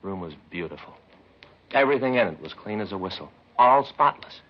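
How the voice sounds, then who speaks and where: An actor with an American accent playing a put-upon police detective who grumbles in folksy deadpan in a 1950s radio drama. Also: male voice, on the low side, fairly quick. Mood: aggrieved. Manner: folksy, aggrieved deadpan storytelling. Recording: muffled vintage radio recording, hissy.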